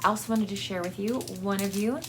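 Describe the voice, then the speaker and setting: A woman giving a casual, rambling personal update to her viewers in a halting, effusively grateful way, recorded in a basement. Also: mid-range voice, steady tone, background noise. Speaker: book channel vlogger. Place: basement with air conditioning running.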